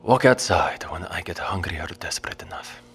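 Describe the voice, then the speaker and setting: An actor playing a desperate, frightened father in an audio drama, speaking in a halting, emotionally raw way. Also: deep voice, animated, a bit bright, slight background noise. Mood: bleak, defeated.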